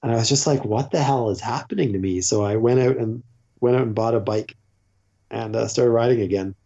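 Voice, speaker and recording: A Canadian man in his forties tells stories in a loose, rambling way, full of fillers and restarts. His voice is dark and mellow, and the recording is a little muffled. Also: mid-range voice, fairly quick, gravelly, breathy edge. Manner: rambling, self-interrupting, with frequent fillers and restarts. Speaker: podcast guest; teacher. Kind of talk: casual conversational storytelling.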